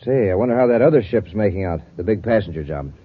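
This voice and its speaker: A crooked, eager henchman, with a husky low voice that swings about. He sounds like a 1950s radio serial sidekick on a tinny old recording. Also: animated, fast. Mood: conspiring. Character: eager crooked male henchman, admiring his boss.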